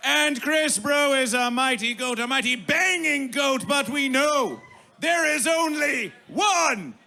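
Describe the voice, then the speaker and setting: A man working as a festival master of ceremonies, making bombastic, mock-ceremonial announcements in a gravelly voice and chanting repeated phrases. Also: very high voice, lively intonation, slow pace, pushed and bright, raised voice, a little hiss or hum. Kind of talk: theatrical chanted stage announcements. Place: live music festival stage. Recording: music behind the voice. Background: music.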